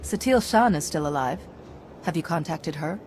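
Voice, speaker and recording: Cool, low-voiced female commander. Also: animated, normal speed, darkish tone, slight background noise. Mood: composed. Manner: direct, composed. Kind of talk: video game cutscene acting.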